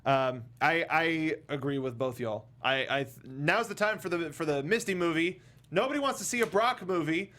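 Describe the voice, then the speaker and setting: A man's voice: fairly high pitch, moderate pace, fairly bright tone. A man hosting a casual panel chat, talking in a jokey, rambling way. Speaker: podcast host.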